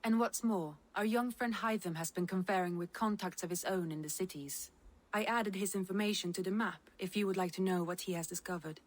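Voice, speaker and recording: A woman playing a shrewd, practical Norse advisor in a video game, measured and businesslike. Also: on the low side, close to an everyday voice. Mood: composed, practical. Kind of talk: video game cutscene dialogue.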